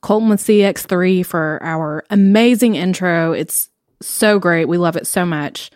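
A woman's voice: lowish voice, a little slow, a little mellow, no room sound, clean studio sound. A woman in her late twenties from Tennessee, co-hosting a podcast in a chatty, upbeat way with frequent ums. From Knoxville, Tennessee. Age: 20s. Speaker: podcast co-host. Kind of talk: casual podcast chat.

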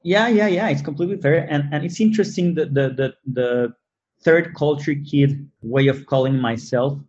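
A man who learned English as a second language, talking casually as an interview guest in quick animated bursts with fillers and restarts. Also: a little high, slight background noise. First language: not English. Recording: muffled lo-fi audio.

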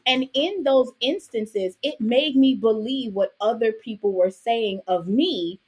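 A Jamaican-born woman raised in Brooklyn, a podcast guest telling her story in a lively, chatty way with frequent "right?" asides. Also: fairly high pitch, a little slow, slightly rough. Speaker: podcast guest, author and business owner. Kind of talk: casual interview conversation.

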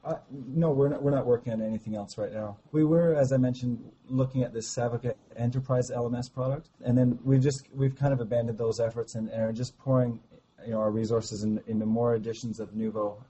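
A man with a slightly hoarse voice from a cold, talking about his startup in a halting, enthusiastic way during an interview call. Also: mid-range voice, fairly even intonation, warm tone, low-quality recording. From Canada. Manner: halting, many restarts, enthusiastic. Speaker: startup founder guest. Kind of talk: casual interview over a call.

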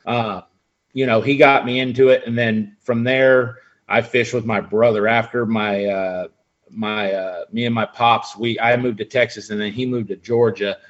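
A man's voice: fairly low pitch, fairly even intonation, moderate pace, lo-fi sound. A man in his forties, a guest angler chatting casually and rambling with lots of fillers. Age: 40s. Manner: laid-back, rambling with fillers. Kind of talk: casual podcast chat.

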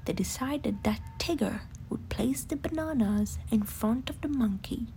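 A woman narrating a children's bedtime story in an expressive, breathy way. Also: on the high side, measured pace, slight background noise.

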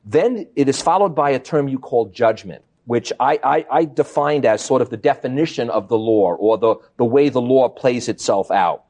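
A man's voice: medium pitch, lively intonation, moderate pace, hardly any pauses, darkish tone. A man hosting a conversational book interview, explaining things step by step with emphasis. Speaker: interviewer, show host.

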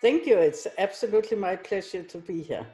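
A woman's voice: mid-range voice, animated, brisk, mellow, soft background music. A middle-aged woman from Denmark, answering interview questions conversationally as a company executive. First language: Danish.

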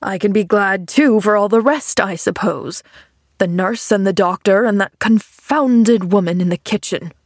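A woman voicing a grumpy, bitter man in an audiobook, speaking in a gruff, testy and sarcastic way. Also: medium pitch, expressive, unhurried, no room sound, studio quality. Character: grumpy, sardonic, bedridden man with a bitter temper. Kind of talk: audiobook character dialogue.

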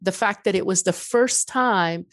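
A woman's voice: medium pitch, measured pace, no room sound, studio quality. A middle-aged woman whose first language is Farsi, speaking as an author in an interview in an earnest, reflective way with many restarts. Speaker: author and guest. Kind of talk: interview conversation.

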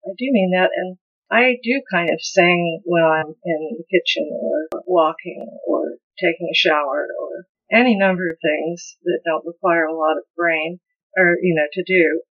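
A woman's voice: on the low side, normal speed. An older woman from the United States with a toned-down mid-Atlantic accent, a folk musician talking in an interview, thoughtful and often restarting her sentences. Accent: mid-Atlantic American. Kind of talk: relaxed interview conversation. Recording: slightly dull lo-fi sound.

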